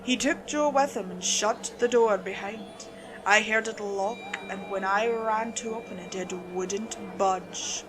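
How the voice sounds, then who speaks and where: A woman's voice: mid-range voice, a little slow, background noise. A woman reading a scripted first-person creepypasta story as narrator. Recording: music behind the voice.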